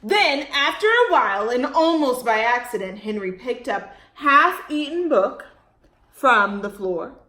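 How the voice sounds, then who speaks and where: A woman reading aloud to young children as a storytime host, expressive, with dramatic pauses and questions to her listeners. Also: a little high, reverberant.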